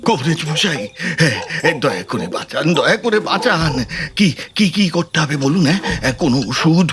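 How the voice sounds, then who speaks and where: A man's voice: on the high side, big pitch swings, normal speed, music in the background. A man playing a frantic, stammering middle-aged father-in-law pleading in a comedy audio drama.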